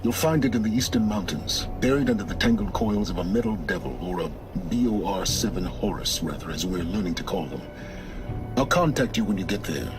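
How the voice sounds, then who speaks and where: A man playing an aloof, knowledgeable guide in a video game, speaking in a measured, formal and condescending way. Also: on the low side, animated, music in the background, close to an everyday voice. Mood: aloof, explanatory. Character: aloof, knowledgeable male guide, curt and scholarly. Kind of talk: video game dramatic dialogue.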